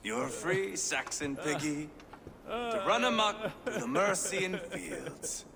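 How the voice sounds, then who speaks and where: Cruel swaggering viking for a game, slow and menacing, noisy background behind him. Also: male voice, high-pitched, lively intonation, heavily acted. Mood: gloating. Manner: menacing, taunting, savoring words. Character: sadistic, swaggering Viking warrior son of Ragnar. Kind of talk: video game cutscene acting. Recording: game scene with clear background noise.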